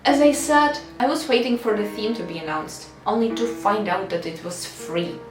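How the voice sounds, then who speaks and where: A woman's voice: mid-range voice, moderate pace. A young adult woman narrating a devlog with wry, self-deprecating humor and theatrical emphasis, moving between scripted lines and casual asides. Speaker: game developer and video creator. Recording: echoey room, distant mic, music underneath.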